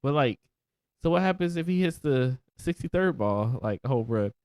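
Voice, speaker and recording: A man's voice: mid-range voice, normal speed, smooth, dry recording. Laid-back congested young man, dry sound. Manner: relaxed, laid-back slang. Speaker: podcast co-host. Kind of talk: casual chat.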